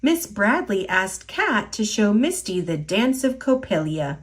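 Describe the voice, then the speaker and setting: A woman reading a children's picture book aloud in an expressive storytelling voice. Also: medium pitch, measured pace.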